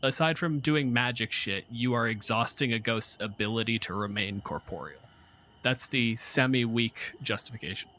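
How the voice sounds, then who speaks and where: A man's voice: medium pitch, lively intonation, normal speed, pushed and bright. A man casually narrating a tabletop game as game master in a conversational, explanatory way.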